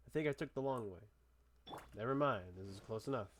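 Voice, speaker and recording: A man giving casual gameplay commentary in a halting, laid-back, joking way. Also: mid-range voice. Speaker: game streamer.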